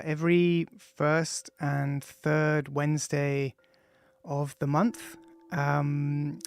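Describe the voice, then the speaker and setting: A man, the author, introducing and reading his own audiobook, speaking casually with frequent ums and pauses. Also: on the high side, vocal fry. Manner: casual, hesitant, many ums between phrases. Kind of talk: audiobook introduction, loose spoken then read text. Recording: music playing faintly behind.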